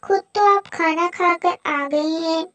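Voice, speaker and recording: A woman's voice: very high-pitched, takes it slowly, a bit bright, full character voice. A woman voicing a hungry, complaining female cartoon crow, a nagging and plaintive wife. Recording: muffled lo-fi cartoon audio with echo.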